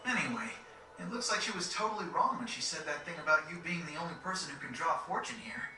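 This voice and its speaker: A man playing a brash, excitable young teenage boy in a video game cutscene, speaking bluntly and casually. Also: on the high side, quick pace, echoey room, distant mic, a little hiss or hum.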